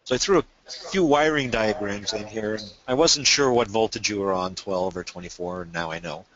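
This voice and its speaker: A man's voice: lowish voice, normal speed, fairly bright tone, muffled recording. A man presenting a technical webinar, explaining things casually and asking questions.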